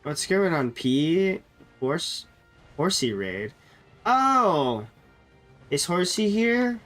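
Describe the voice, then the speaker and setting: A man's voice: high voice, very animated, very slow, fairly bright tone, faint music behind. A man streaming a game while sick with a cold, sounding nasal and chatting loosely with viewers, often correcting himself. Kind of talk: casual live-stream chat.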